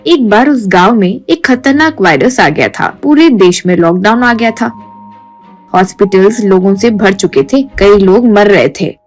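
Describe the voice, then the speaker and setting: A woman's voice: medium pitch, lively intonation, a little slow, soft background music. A woman reading scripted narration for a simple moral tale, as a storyteller.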